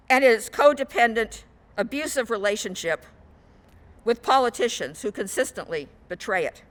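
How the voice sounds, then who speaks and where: A woman minister giving a sermon in measured, rhetorical short phrases. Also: a little high, fairly bright tone. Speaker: guest minister.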